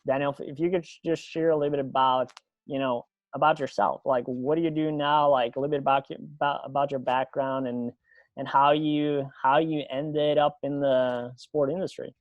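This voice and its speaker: A man's voice: medium pitch, fairly even intonation, moderate pace, no room sound, highs cut off. A man hosting a podcast, interviewing in a casual, chatty and slightly rambling way. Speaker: podcast host.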